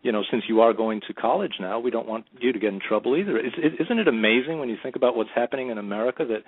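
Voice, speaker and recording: Fast-talking gravelly talk radio host. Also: male voice, medium pitch, expressive, dry recording. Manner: rapid, rambling, repeats words. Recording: tinny phone-quality audio.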